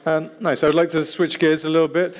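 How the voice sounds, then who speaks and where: A man's voice: on the high side, little pitch movement, fast talker. A man moderating a panel discussion, putting unscripted questions to the speakers.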